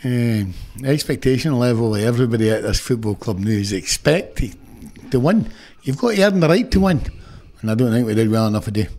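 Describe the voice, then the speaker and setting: A man's voice: mid-range voice, lively intonation, fairly quick, a little mellow. A Scottish man in his sixties, a football manager giving a frank, annoyed post-match interview into a close stand mic in an indoor press room. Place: indoor press room before a sponsor backdrop. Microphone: stand mic close in front.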